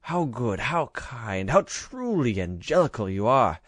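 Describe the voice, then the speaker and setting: A man voicing a fussy governess who gushes and flatters. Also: medium pitch, unhurried, no room sound, clean studio sound. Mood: gushing. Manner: gushing, flattering. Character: fawning fussy woman governess.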